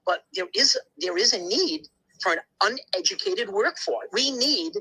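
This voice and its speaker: A man with a husky, slightly rough edge to his voice holds forth in a loose podcast chat. He talks bluntly and emphatically, piling on asides and restarting his sentences as he goes. His tone is bright and forceful. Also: high voice, expressive, a little slow, rough recording. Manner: rambling, emphatic storytelling with many asides and restarts; blunt, occasional swearing. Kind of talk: casual conversation.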